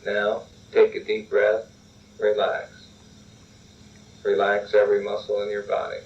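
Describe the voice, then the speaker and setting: A man calmly guiding a relaxation and role-play exercise, repeatedly urging the listener to relax, in a dull lo-fi recording. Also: lowish voice, a little slow, far from the mic, a little hiss or hum.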